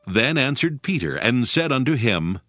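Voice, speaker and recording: Male narrator for dramatized scripture: formal storyteller, expressive melodic range, light music underneath. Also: medium pitch, normal speed.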